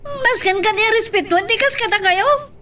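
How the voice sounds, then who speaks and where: A woman's voice: extremely high pitch, normal speed, pushed and bright. A woman acting emotional, outspoken characters in an Ilocano audio drama. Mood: angry, tearful defiance.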